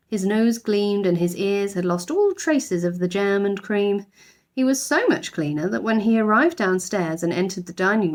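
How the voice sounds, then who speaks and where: Expressive woman reading a children's story. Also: mid-range voice.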